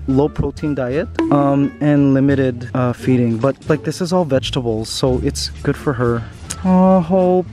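A man in his thirties vlogging on a farm, excitable and chatty, cooing at a chicken in baby talk from a handheld camera. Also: fairly high pitch. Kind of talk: casual vlog. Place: outdoors on a farm.

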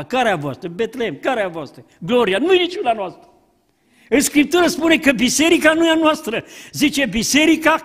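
An older man preaches from the pulpit, his voice pitched high for a man. He speaks in an animated way, and the church hall carries a long reverb behind him. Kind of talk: sermon. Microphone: pulpit mic.

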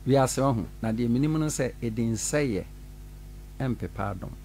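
A middle-aged Ghanaian pastor speaks into a studio boom mic in a small radio studio, talking with lively gestures and frequent laughter. His pitch sits mid-range for a man. A faint hum runs underneath. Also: moderate pace. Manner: animated, gestures while talking, laughs often. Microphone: studio mic on boom arm.

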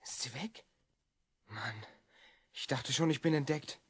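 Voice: medium pitch, measured pace, slight background noise, lightly acted. An actor voicing an earnest, slightly bossy twelve-year-old boy time traveller in a German children's audio drama. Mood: urgent. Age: child figure, twelve.

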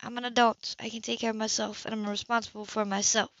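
A woman's voice: a little high, level tone, fast, a bit bright, no echo, lo-fi sound, natural voice. A woman reading a proud, composed, stubborn psychologist for an audiobook, in a precise and defiant formal manner. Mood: earnest, firm.

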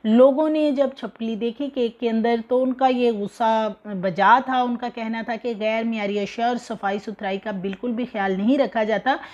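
Young woman, Pakistani Urdu accent, news commentary, conversational with fillers, higher voice. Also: steady tone, moderate pace, few pauses, darkish tone, lo-fi sound. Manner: conversational, many fillers, indignant. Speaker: news presenter. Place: indoor living room with TV behind.

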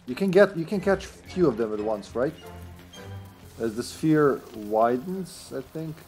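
A man's voice: mid-range voice, expressive, measured pace, darkish tone, faint music behind. Chatty man streaming a game on headset. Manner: chatty, laughs often, trails off. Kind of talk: casual gameplay commentary. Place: indoor room at home.

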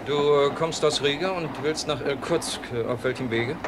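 An actor playing an officious passport official in a German radio play, curt and bureaucratic. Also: male voice, on the high side, moderate pace, noisy background, close to an everyday voice. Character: brisk, officious male passport control official.

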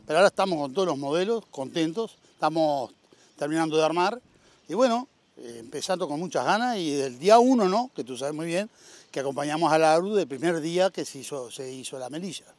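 A man's voice: fairly high pitch, animated, brisk, a little mellow, no echo. A middle-aged man with a Uruguayan Spanish accent, a machinery dealer promoting his tractors outdoors at a farm fair, with lots of "este" fillers. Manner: upbeat salesman, filler-heavy with frequent "este". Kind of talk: casual outdoor interview.

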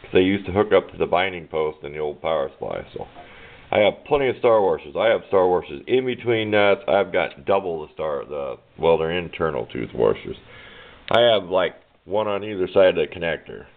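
A man's voice: low voice, animated, normal speed. A plainspoken, rambling man giving an informal hands-on walkthrough of a DIY project, recorded indoors on a handheld camera mic. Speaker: DIY electronics hobbyist and presenter. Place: indoors at a home workbench. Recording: muffled, narrow phone-like camera audio.

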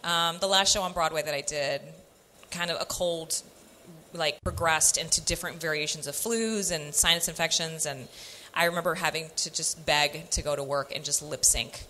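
A young woman, a stage actor, telling animated stories full of "like" on a convention panel, speaking into a handheld microphone. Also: low voice, normal speed, slight background noise. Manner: animated storyteller with many fillers. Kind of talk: casual panel storytelling. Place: convention panel room, indoors.

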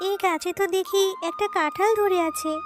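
A woman's voice: very high voice, steady tone, a little fast. A woman voicing a poor, hardworking mother fox in a children's cartoon, earnest, plaintive and grateful. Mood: worried. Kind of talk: children's fairy-tale cartoon dubbing. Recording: children's music behind the voice.

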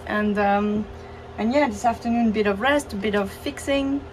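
A woman's voice: mid-range voice, normal speed. Woman on a sailboat, noisy camera audio. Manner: relaxed, laughs easily. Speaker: sailing vlogger. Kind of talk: casual chat. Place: outdoors on a sailboat at sea.

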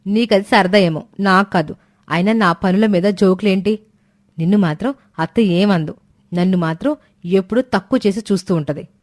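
A woman's voice: mid-range voice, normal speed, no room sound, studio quality, lightly acted. A woman voicing a proud, easily hurt young daughter-in-law in a moral story. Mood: resentful. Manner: earnest, complaining.

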